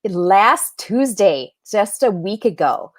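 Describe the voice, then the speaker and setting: A woman's voice: mid-range voice, sing-song, slow pace, dry recording, clean studio sound. A woman speaking as an interview guest in a reflective, conversational style, with an earnest, thoughtful way of explaining things.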